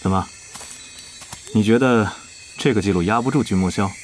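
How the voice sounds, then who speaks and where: An actor plays an authoritative male guild president in an audio drama. His voice is lowish and composed. Faint ambience with insects sits behind him. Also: moderate pace, a little hiss or hum, close to an everyday voice. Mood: composed, commanding.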